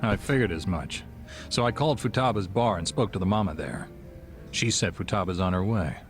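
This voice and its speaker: A shrewd bartender in a game cutscene, with a low voice and a measured, composed delivery. He is dry and discreet, and music plays clearly behind him. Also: animated. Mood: calm, helpful. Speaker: video game character. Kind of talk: dramatic game cutscene acting.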